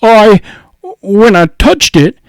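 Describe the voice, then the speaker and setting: Storybook old dockhand played by a male narrator: big, simple, weary grumbler, voice pushed higher, blunt working-class talk, dry recording. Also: expressive, slow, dry recording, exaggerated voice. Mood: dazed. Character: big, simple, world-weary old sailor dockhand who loves grog. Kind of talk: audiobook character voice.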